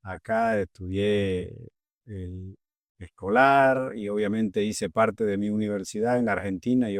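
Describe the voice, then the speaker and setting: Low-voiced Bolivian man, measured and earnest. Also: a little mellow, dry recording. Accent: Bolivian Spanish. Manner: measured, earnest, pauses with fillers. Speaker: agricultural leader, guest. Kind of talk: interview answers.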